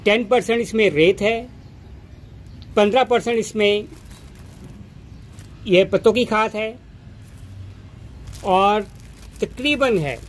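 A man's voice: sits high, slow, pauses often, slight background noise. A man explaining step by step how to plant flower bulbs outdoors in a rooftop garden, recorded on a camera phone held close, often repeating and restarting phrases. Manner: repeats phrases, many restarts and filler words. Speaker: home gardening channel host. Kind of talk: hands-on gardening demonstration. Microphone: camera phone held close, narrator off screen.

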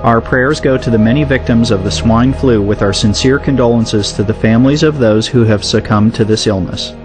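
A man narrates a scripted news report in a measured, solemn voice pitched in the middle range. Music plays clearly behind him throughout.

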